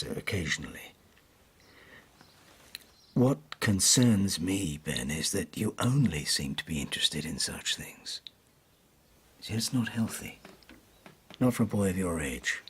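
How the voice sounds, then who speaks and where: A man acting in an audio drama as a stern, concerned schoolmaster, measured and probing as he questions a pupil. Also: low voice, slightly rough, heavily acted.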